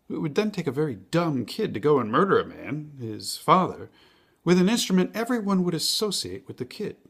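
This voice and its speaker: Guy doing a stage monologue as an earnest juror, fairly high voice, really animated, pushing his point hard. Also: breathy edge, full character voice. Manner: emphatic, rhetorical, building arguments by repeated questions. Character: earnest, insistent male juror arguing reasonable doubt.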